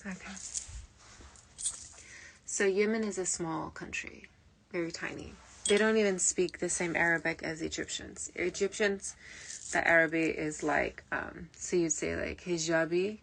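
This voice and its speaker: Low, creaky-voiced woman rambling. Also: steady tone, unhurried, a bit bright, a little hiss or hum, rough recording. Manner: meandering, digressive, frequent fillers and restarts. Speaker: spiritual medium. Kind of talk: rambling late-night livestream talk. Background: faint early nineties music.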